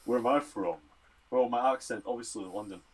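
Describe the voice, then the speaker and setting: A man's voice: mid-range voice, a little fast, slightly rough, poor audio. A Scottish man who studies medicine, casually answering health and fitness questions on a livestream Q&A. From Scotland.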